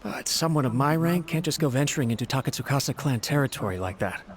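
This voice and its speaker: A man voicing a loyal, worried servant in a video game, earnest, deferential and quick to belittle himself. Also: medium pitch, expressive, slight background noise, lightly acted. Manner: earnest, self-deprecating. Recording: game music behind the voice. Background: game music.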